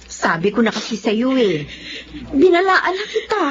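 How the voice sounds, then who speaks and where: A woman's voice: a little high, sing-song, normal speed, highs cut off, a little hiss or hum. A woman playing a frank, scolding friend in a drama, speaking with a Filipino accent. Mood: exasperated. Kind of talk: Filipino dramatic radio-style acting.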